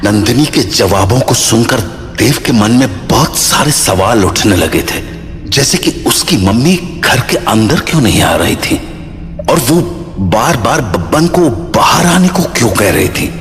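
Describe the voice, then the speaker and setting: A man's voice: mid-range voice, very animated, measured pace. A man narrating a scripted horror story as the storyteller. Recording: long reverb, music behind. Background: background music.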